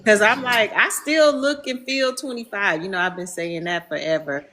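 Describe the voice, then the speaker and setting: A woman in her fifties chatting casually as a podcast guest, bubbly and quick to laugh. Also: mid-range voice, bright, forceful tone, slight background noise. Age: 50s. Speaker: guest, body care business owner.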